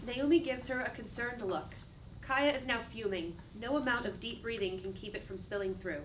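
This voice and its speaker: A distant, noisy room recording of a woman narrating a play. Her voice sits a little high as she reads scripted stage directions. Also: moderate pace.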